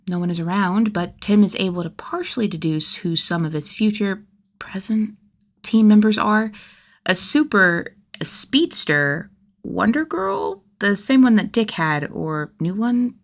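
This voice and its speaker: A woman narrating fan fiction in an expressive, conversational way, with youthful enthusiasm in the reading. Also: fairly low pitch. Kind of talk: animated scripted story narration.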